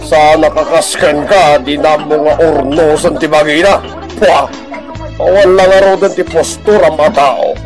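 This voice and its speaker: An actor in an Ilocano radio drama playing an excitable, grumbling man who exclaims often. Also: on the high side, measured pace, over music. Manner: exclaiming, full of interjections.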